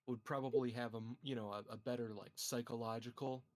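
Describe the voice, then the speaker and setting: A man's voice: medium pitch, steady tone, brisk, very noisy. A man chatting casually as a tabletop game player, hesitantly thinking aloud.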